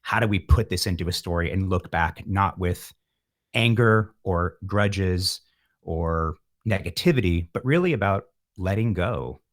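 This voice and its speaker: Male, middle-aged, low voice. Musician in interview, wry and philosophical, thinking out loud. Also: animated, unhurried. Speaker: musician, podcast guest. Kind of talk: reflective interview talk.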